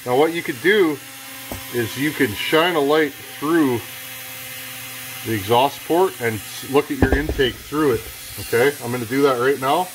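A man explaining his chainsaw porting work in a relaxed, chatty workshop tutorial, with self-deprecating asides, speaking off camera over his bench. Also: mid-range voice, moderate pace. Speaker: chainsaw builder demonstrating porting. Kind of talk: casual hands-on workshop tutorial. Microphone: camera close to workbench, speaker off camera. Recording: noisy workshop with power tool. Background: rotary grinding tool whirring.

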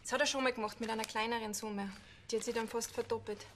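A woman's voice: fairly high pitch, steady tone, fast talker, a bit bright, slight background noise, close to an everyday voice. A woman playing an earnest choir member who eagerly explains things to the police.

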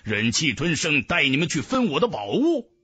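A man's voice: on the high side, wildly swooping pitch, normal speed, bright, forceful tone, breathy edge, dry recording, exaggerated voice. A man voicing a defiant, mocking young martial cultivator in a fantasy audiobook, with cool, taunting delivery. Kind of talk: dramatic fantasy audiobook dialogue.

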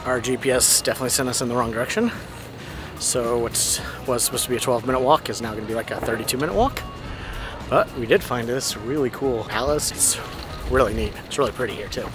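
A man's voice: medium pitch, fast talker, music in the background. A middle-aged man, talking casually to a camera held at arm's length as a travel vlogger. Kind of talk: casual vlog narration to camera. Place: on location around a city, indoors and outdoors.

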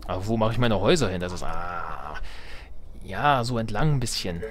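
Man with lively pitch, casual gaming commentary. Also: moderate pace, a crowd in the background. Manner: talks to himself, exclaims and mutters in reaction to the game. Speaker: let's play host. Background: game music.

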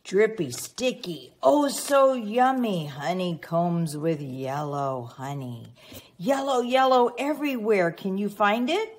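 A woman reading a picture book aloud expressively to young children, prompting them with teacherly questions and pauses. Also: medium pitch, lively intonation. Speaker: children's read-aloud reader.

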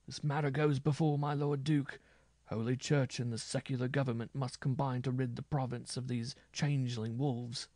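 Storybook minstrel, played by a man: bearded medieval braggart, boisterous, theatrical, gravel in the voice. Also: mid-range voice, level tone, moderate pace, mellow, no room sound, clean studio sound, pitched above the performer's own voice, close to an everyday voice. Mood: shaken, indignant.

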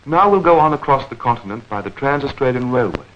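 A man narrating a 1940s educational newsreel in a measured, clearly enunciated, explanatory manner. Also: medium pitch, expressive, a little hiss or hum. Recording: dull vintage 1940s film soundtrack.

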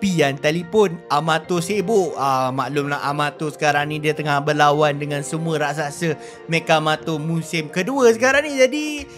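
A man with a Malaysian accent giving excited, animated gameplay commentary with roleplay, full of exclamations and drawn-out words. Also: a little high, soft background music. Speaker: gaming YouTuber.

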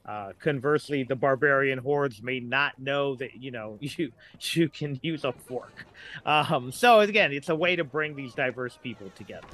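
A man's voice: medium pitch, normal speed, a bit bright. A middle-aged man with an American accent talking casually as a podcast guest, thinking aloud in a rambling way.

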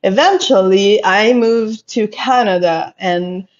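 A woman's voice: medium pitch, slow. A woman from Italy with an Italian accent, a pottery artist telling her story in an interview, thoughtful and sometimes searching for words. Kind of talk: casual interview chat. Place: indoor room with bookshelves. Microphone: headphones on a video call. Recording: slightly dull lo-fi call audio.

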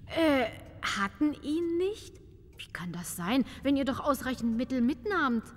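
A woman's voice: high-pitched, big pitch swings, a little slow, slight background noise. A woman playing an inquisitive, haughty but polite castle countess in a fairy-tale audio comedy, courtly and exclamatory. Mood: puzzled, indignant. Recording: long reverberant hall sound.

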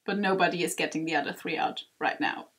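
A woman's voice: on the low side, quick pace, fairly bright tone. A woman hosting a let's play, giving casual gameplay commentary. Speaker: let's play host voicing characters.

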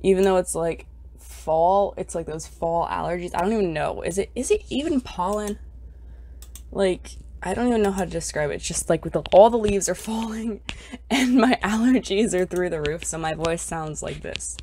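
A young woman talks over her gameplay in a chatty, bubbly way, sighing over how cute everything is. Her voice is scratchy and stuffed up from allergies, with a low pitch and a lot of gravelly fry at the ends of her words. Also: normal speed, mellow. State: congested, scratchy voice. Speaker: gaming YouTuber. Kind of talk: casual gameplay commentary.

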